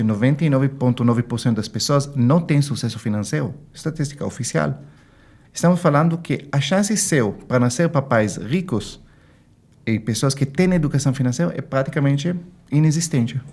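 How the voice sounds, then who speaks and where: A man's voice: mid-range voice, animated, brisk, a little mellow. Israeli-accented man explaining emphatically. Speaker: guest financial consultant. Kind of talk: explanatory interview talk with anecdotes.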